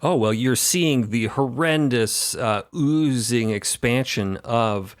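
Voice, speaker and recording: A man's voice: mid-range voice, slow pace. A man playing a tabletop roleplaying game, improvising descriptions in a theatrical, ornate way.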